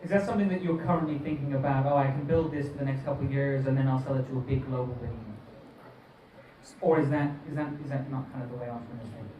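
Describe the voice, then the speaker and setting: A man's voice: medium pitch, fairly even intonation, fast talker, warm tone. Hesitant male interviewer in echoey room. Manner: hesitant, restarts words. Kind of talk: interview questions at an event. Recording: echoey room, mic far off, background noise.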